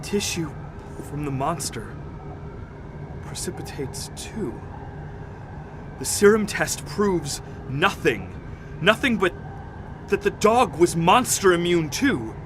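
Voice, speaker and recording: Radio drama: distraught expedition doctor, slow and halting, cracking up with fear, heavy rumble behind. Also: male voice, big pitch swings. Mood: stunned dread. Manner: halting, repeating words, laughing hysterically.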